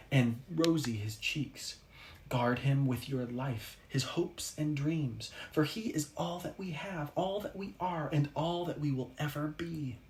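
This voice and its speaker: A man voicing a baby's parents, speaking as one in a solemn, earnest plea. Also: on the high side.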